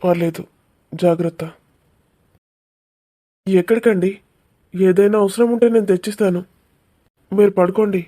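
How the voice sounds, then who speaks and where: Woman voicing a husky, gentle young man. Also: medium pitch, steady tone, normal speed, long pauses, lightly acted. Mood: caring, reassuring. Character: caring, lovestruck young man, gentle and polite. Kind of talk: cartoon romance dialogue.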